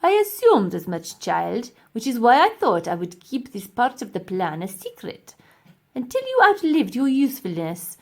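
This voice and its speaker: A woman's voice: a little high, huge pitch swings, darkish tone, exaggerated voice. Woman voicing a gloating, pompous old professor villain. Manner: emphatic, drawn-out, pompous delivery. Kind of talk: children's audiobook character acting.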